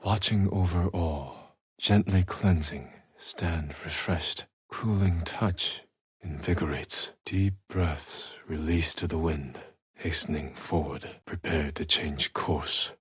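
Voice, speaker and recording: A reflective, honor-bound samurai from a video game. His voice is low and heavily husky, close and dry. He speaks in hushed, deliberate phrases, as if reciting poetry, with grave restraint. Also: no room sound, exaggerated voice. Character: solemn, reflective male samurai warrior, stoic and honor-bound. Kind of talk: measured poetic recitation, video game acting.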